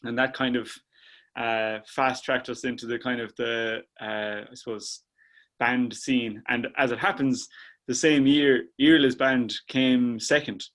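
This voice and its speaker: A man's voice: medium pitch, steady tone, a little slow, fairly bright tone. Irish guy from a trad band on a video call, laid back, keeps correcting himself. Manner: easygoing, self-correcting with a laugh. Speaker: band member. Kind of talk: casual interview chat.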